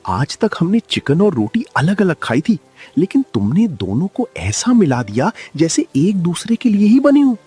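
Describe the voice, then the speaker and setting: Cartoon role: man voicing a cheerful old shopkeeper, effusive and husky, pitch a bit high. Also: moderate pace, faint music behind, full character voice. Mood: delighted. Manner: lively, effusive praise. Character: cheerful elderly male shopkeeper Lala ji.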